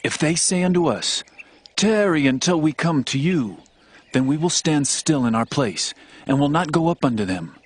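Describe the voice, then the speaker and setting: A man playing a confident, devout young warrior prince in a dramatized audio Bible, with a husky voice. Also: fairly high pitch, animated, normal speed, no room sound. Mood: resolute.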